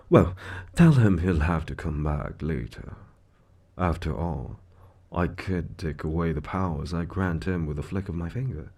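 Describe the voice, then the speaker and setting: An actor playing a suave, smug devil who deals in souls, speaking with theatrical, condescending mock courtesy in a scripted audio roleplay. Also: male voice, sits low, mellow, exaggerated voice. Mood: breezy courtesy. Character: suave, smug male devil, menacing soul-contract broker in Hell.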